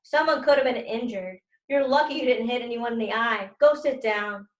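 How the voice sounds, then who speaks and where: A woman reading a children's novel aloud, voicing a calm, firm, encouraging male teacher in an emphatic, measured way. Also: on the high side, echoey room, close to an everyday voice. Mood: stern.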